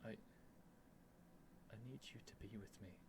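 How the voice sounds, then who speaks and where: A man playing an earnest, nervous young prince devoted to a dragon, in a halting, emotional ASMR roleplay addressed to the listener. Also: lowish voice, noisy background. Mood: pleading, resigned. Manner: halting, stammering, breathy sighs and whispers, emotional.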